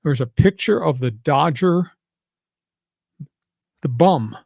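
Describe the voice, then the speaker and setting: Older American man, gravelly sports talker. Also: a little high, animated, slow, a little mellow, no room sound, studio quality. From New York, United States. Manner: emphatic, folksy colloquial turns. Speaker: sports talk show host and analyst. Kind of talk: opinionated sports talk.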